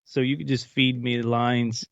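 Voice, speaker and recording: A man's voice: medium pitch, flat delivery, a little slow, gravelly, no echo. A man chatting casually and informally. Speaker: voice actor in an audio drama. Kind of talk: casual chat. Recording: slightly dull, lo-fi audio.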